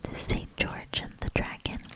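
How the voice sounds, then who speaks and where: A woman reading a storybook aloud for ASMR in a breathy whisper, gentle and deliberate, lingering between short phrases.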